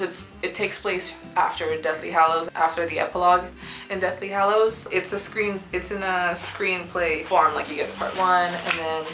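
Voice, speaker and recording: A young woman, a student, chatting casually in a book review vlog, rambling with many fillers and restarts, with a sore throat that makes her clear it now and then. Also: on the low side, pushed and bright, reverberant, low-quality recording. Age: young adult. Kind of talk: casual chat. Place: small student apartment room.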